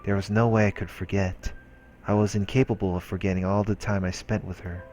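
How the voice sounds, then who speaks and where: Male narrator, very low register, solemn scripted read, faint music underneath. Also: level tone, brisk, darkish tone. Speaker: let's play host reading a visual novel aloud. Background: game music.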